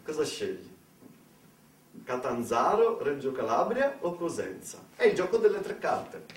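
Male Italian monologuist, slightly high-pitched, wry and conversational with rhetorical questions, recorded off-mic in an echoey space. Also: very animated, a little slow. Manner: ironic, colloquial, rhetorical questions and asides. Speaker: storyteller in a one-man theatre monologue. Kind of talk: satirical narrative theatre monologue, conversational. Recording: echoey room, distant microphone.

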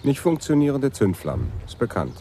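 An actor playing an observant, nature-loving police sergeant from Amsterdam in a German radio drama. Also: male voice, medium pitch, huge pitch swings, brisk, warm tone, a little hiss or hum. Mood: matter-of-fact.

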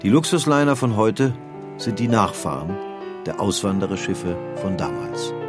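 A man's voice: lowish voice, expressive, moderate pace. A man narrating a history documentary from a script. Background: music.

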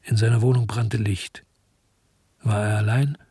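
A man's voice: lowish voice, fairly even intonation, unhurried, dark tone, breathy edge, dry recording, exaggerated voice. A man playing a weary, disgusted hard-boiled investigator, narrating his own story in a brooding, terse way in a German radio drama. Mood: brooding, bitter.

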